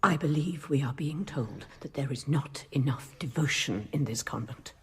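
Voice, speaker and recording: An actress playing a devout, severe older nun in a radio drama, husky and breathy, pressing her points with pious disapproval. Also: extremely low pitch, expressive, normal speed, full character voice. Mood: pious, accusing. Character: devout, severe, zealous elderly nun, stubbornly challenging authority.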